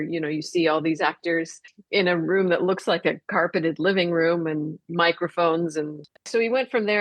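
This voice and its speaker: A woman's voice: low-pitched, no echo. A woman speaking as a theater director and guest in a conversational radio interview.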